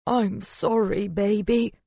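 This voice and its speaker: A woman's voice: on the low side, slow, slightly rough, no echo, full character voice. A woman voicing an anguished, angry man, a grief-stricken husband who hisses, moans and cries out his accusations in an audiobook. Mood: grieving. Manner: hissing, moaning, crying out.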